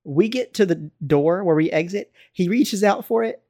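An American man chatting casually and telling stories in a rambling, animated way. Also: sits high, normal speed, dry recording, clean studio sound. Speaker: podcast co-host. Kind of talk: casual chat, storytelling.

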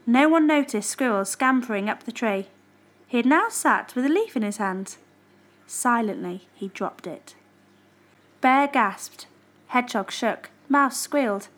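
Woman, children's story narration, expressive, high-pitched, slow, deliberate.

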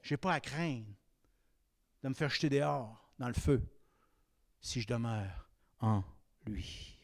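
A man's voice: medium pitch, slow pace, no echo, studio quality. Emphatic Québécois preacher in his fifties. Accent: Québécois French. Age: 50s. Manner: emphatic, swinging between raised exhortation and quiet confiding. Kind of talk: sermon.

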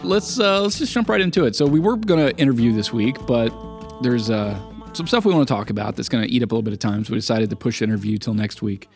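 Male podcast co-host, mid pitch, raspy from a cold, long talkative explanations. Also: quick pace, a little mellow, soft background music. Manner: talkative, explains at length with everyday comparisons. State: sick with a cough. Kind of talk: casual chat.

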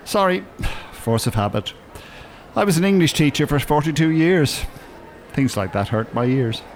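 An actor playing a bitter, pedantic older Irish retired English teacher in an audio drama, dry and well-spoken. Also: male voice, fairly high pitch, lively intonation, moderate pace, a little hiss or hum.

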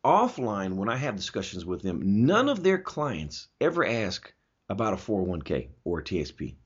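A man with a husky voice hosting a talk show, explaining his opinions emphatically and posing rhetorical questions in a conversational way. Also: lowish voice, wildly swooping pitch, moderate pace. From Germany. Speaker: talk show host answering listener questions. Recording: dull, lo-fi audio.

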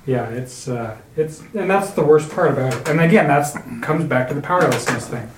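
A man's voice: fairly high pitch, lively intonation, a little fast. A man co-hosting a podcast in casual chat, blunt and swearing freely, with restarts as he goes. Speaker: podcast co-host. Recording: roomy with faint noise.

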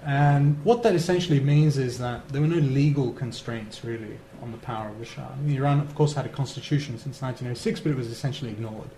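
Man, academic lecturer, measured and analytical, roomy hall sound, faint hiss. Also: mid-range voice, dark tone. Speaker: lecturer on Iran.

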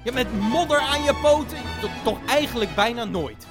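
An actress voicing an eager, naive youngest brother who speaks in halting, excitable bursts in a family radio play. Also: female voice, on the high side, close to an everyday voice. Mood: excited. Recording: faint music behind.

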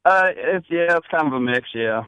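A man's voice: on the high side, animated, normal speed, bright, forceful tone, smooth, no room sound, low-quality recording. A man auditioning as a singing contestant, giving brief casual answers.

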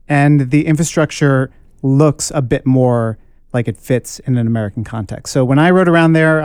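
Mellow, smooth-voiced podcast host man. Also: mid-range voice, no room sound, clean studio sound. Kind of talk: casual chat.

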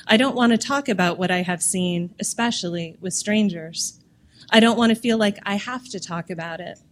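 A woman writer reading a piece of literary prose aloud. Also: mid-range voice.

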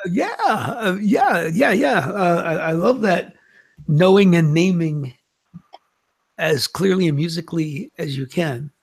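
Gravelly, husky-voiced male host. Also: sits high, animated, unhurried. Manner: stammering, repeats words. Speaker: interviewer, host.

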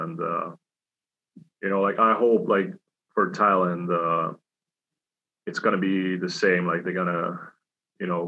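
A young man from Switzerland talks through his answers in a halting way, filling gaps with "like" and "you know." His voice sits very low with a gravelly edge and a bright, forceful tone that stays flat in pitch. The recording sounds dull and lo-fi. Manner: halting, many fillers and restarts. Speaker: hockey player. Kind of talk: casual interview answers.

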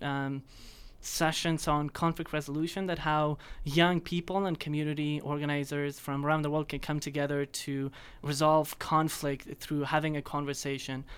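A man's voice: fairly high pitch, a bit bright, smooth. A man in his twenties from Afghanistan, giving earnest, enthusiastic interview answers with frequent ums. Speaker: guest.

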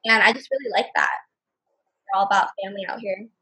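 A young woman in her twenties chats on a podcast as a guest, upbeat and talkative, with plenty of "like" and "um." Her voice has a slightly husky grain. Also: mid-range voice, quick pace, sharp tone, rough recording. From Canada. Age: 20s. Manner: chatty, upbeat, frequent "like" and "um". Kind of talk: casual interview chat.

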